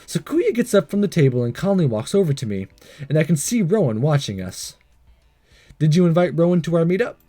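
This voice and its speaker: Male let's player, higher-pitched and lively, mellow tone, stumbles through read-aloud dialogue and narration over music. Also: brisk. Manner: stumbles over lines and re-reads them. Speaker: let's play host. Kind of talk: reading visual novel aloud with reaction commentary. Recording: music behind the voice. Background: game music.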